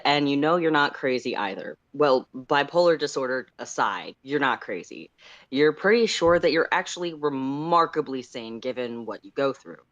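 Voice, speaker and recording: A man's voice: medium pitch, fairly bright tone, no echo. A man co-hosting a livestream in a casual style, as he chats and reads fan fiction aloud. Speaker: co-host and story narrator.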